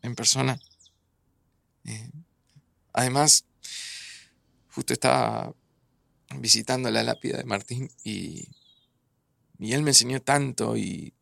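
A man's voice: medium pitch, slow pace, vocal fry, pauses often, a bit bright, no room sound, exaggerated voice. An actor with an Argentine accent playing a caring, earnest man in a naturalistic audio drama. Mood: tender, flustered. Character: caring, earnest man, old friend of a time traveller. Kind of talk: naturalistic audio fiction acting. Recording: music under the voice.

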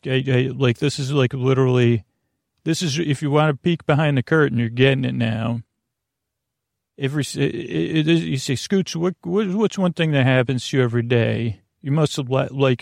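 A man hosting a bedtime podcast in a creaky, lulling voice, meandering through tangents with frequent stammers and questions to himself. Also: mid-range voice, a little mellow, dry recording, clean studio sound. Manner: soothing, lulling, drowsy delivery. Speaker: podcast host. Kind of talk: rambling bedtime podcast monologue.

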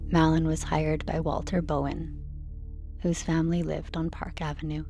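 Woman narrator, low pitch, gravelly creak, soothing bedtime reading. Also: level tone, normal speed, dark tone, faint music behind. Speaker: narrator reading a sleep story.

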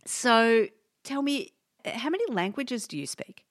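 A woman's voice: on the high side, animated, unhurried, no room sound, studio quality. Bubbly Australian woman hosting. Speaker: podcast host. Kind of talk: podcast interview.

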